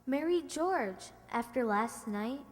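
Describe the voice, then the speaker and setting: A woman's voice: on the high side, animated, slow. A woman playing an eager, gossipy young kid sister in a radio comedy, telling tales in an earnest, confiding way. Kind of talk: 1940s radio comedy acting. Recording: echoey hall stage recording.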